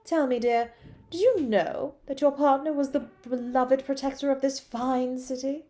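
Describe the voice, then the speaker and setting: A woman's voice: high voice, fairly even intonation, moderate pace, dark tone, pitched above the performer's own voice, close to an everyday voice. A woman playing a bored, taunting supervillain in a superhero audio roleplay, drawling and mocking theatrically. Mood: gleeful taunting.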